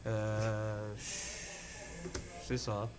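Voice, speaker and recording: A man, a music producer guest on a radio show, earnest and appreciative, talking haltingly with fillers. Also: lowish voice, little pitch movement, a little mellow, low-quality recording. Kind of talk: casual chat.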